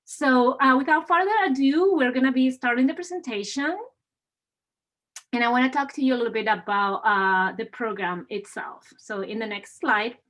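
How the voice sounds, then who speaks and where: A woman with a Spanish accent presents an admissions webinar over a video call. Her voice sits a little high for a woman. She talks in an upbeat, welcoming way and fills her pauses with frequent "uh"s. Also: fairly bright tone. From Spain. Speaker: assistant dean hosting an admissions webinar.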